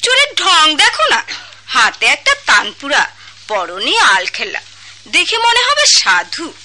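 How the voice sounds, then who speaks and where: An excitable, gossiping maidservant in a radio play, Bangladeshi accent. Her voice is high and very bright, swinging up and down in a chatty sing-song. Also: slow, exaggerated voice. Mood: excited, gossipy. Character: gossipy, excitable female palace maid. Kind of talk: radio drama acting.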